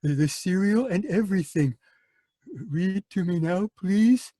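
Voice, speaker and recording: A man's voice: high voice, lively intonation, measured pace, dark tone. A man playing a childlike, violent asylum patient who whines and pleads, then turns menacing, in a radio drama. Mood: pleading. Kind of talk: live radio drama reading over video call.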